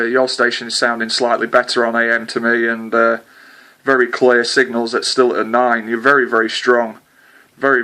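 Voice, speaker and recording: A man's voice: mid-range voice, pushed and bright. A man chatting hesitantly with a distant contact as a CB radio operator, with lots of filler sounds. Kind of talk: casual radio contact chat.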